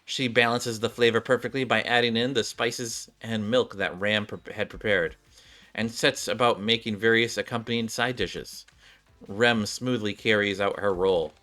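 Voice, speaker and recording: A man's voice: fairly low pitch, steady tone, moderate pace, sharp tone. A man doing a casual game playthrough, reading the story aloud and commenting as he goes, with music behind him.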